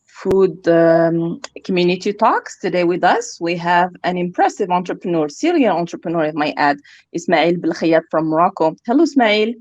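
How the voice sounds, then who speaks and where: Woman host, lowish voice, polite and measured, dry muffled call sound. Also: animated, darkish tone, dry recording. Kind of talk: interview hosting. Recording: dry, dull lo-fi audio.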